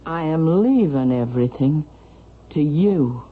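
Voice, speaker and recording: Husky, domineering old matriarch. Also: female voice, low voice, expressive, heavily acted. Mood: cold, pointed. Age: older. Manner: imperious, theatrical, deliberate. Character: domineering, melodramatic old widow, shrewd and proud. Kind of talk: 1970s radio drama acting. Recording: muffled, lo-fi vintage radio recording.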